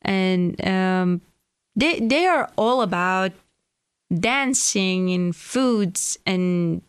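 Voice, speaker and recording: A young woman with a Kurdish accent speaks in a smooth, resonant voice at a middling pitch. She answers a host's questions hesitantly, pausing and searching for words. The sound is close and dry. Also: expressive, no echo. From Iranian Kurdistan. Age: young adult. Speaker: guest. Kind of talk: interview answers.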